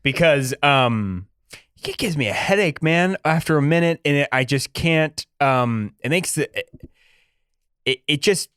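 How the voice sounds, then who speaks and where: A man chats casually as a podcast co-host, in a somewhat high and very lively voice. He jokes and rants with animated energy, and the sound is dry and close. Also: unhurried, clear, resonant tone, dry recording. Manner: animated, joking, ranting. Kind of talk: casual chat.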